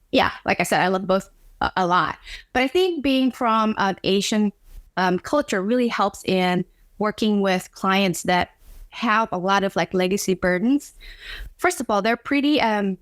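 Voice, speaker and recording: A woman's voice: mid-range voice, a bit bright, dry recording, studio quality. A woman from Indonesia, not a native English speaker, speaking earnestly as a psychologist guest in an interview from her home office. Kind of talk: conversational interview.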